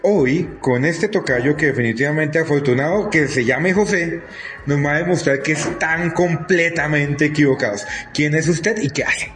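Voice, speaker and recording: A man's voice: a little high, moderate pace, a bit bright, soft background music. A man hosting an interview in an emphatic, admiring style. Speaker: interviewer, show host. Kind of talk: effusive show presentation and interviewing.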